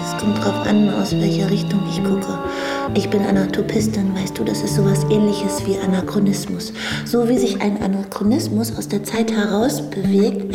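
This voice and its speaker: An actress playing a young woman doctor in a radio drama, a hesitant, softly insistent admirer who quietly yearns. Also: mid-range voice, echoey room, lightly acted. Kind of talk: naturalistic radio drama acting.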